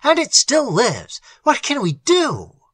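A man voicing an excitable, anxious male companion in a horror story, shouting urgently in a hoarse voice. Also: very high voice, slow pace, dry recording, voice pushed up, heavily acted. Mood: excited, panicky. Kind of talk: dramatized horror story reading.

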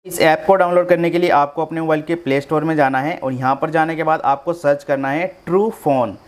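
A man's voice: fairly high pitch, steady tone, fairly quick. A man hosting a tech tutorial, explaining phone steps one by one and addressing viewers directly in an instructional way. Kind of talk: step-by-step phone tutorial narration.

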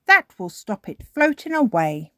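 A woman voicing a calm, reassuring father pig in a storybook reading. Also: a little high, measured pace, dry recording, studio quality, voice pushed up. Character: easygoing daddy pig.

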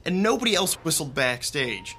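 A man's voice: on the high side, very animated, moderate pace, sharp tone, slight background noise, heavily acted. A man acting a friendly, chatty theatre techie telling anecdotes in an audio drama. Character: Rick, chatty friendly young male theatre techie storyteller.

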